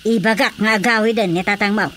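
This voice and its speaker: A woman's voice: mid-range voice, fairly even intonation, fast, fairly bright tone, a little hiss or hum, close to an everyday voice. An actress playing a chatty, wistful older mother with a Filipino accent in a radio drama comedy. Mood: coy.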